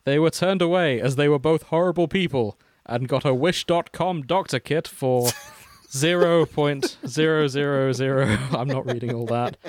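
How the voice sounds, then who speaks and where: A British man hosting a podcast, reading fan fiction aloud with jokey, deadpan asides. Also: fairly high pitch, normal speed, a little hiss or hum. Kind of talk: dramatic comic reading with casual banter.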